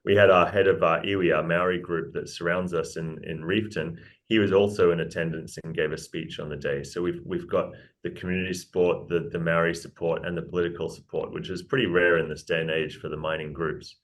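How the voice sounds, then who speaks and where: A man with a New Zealand accent, a company CEO pitching his firm in an interview, upbeat and restarting words often. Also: steady tone, fairly quick, few pauses.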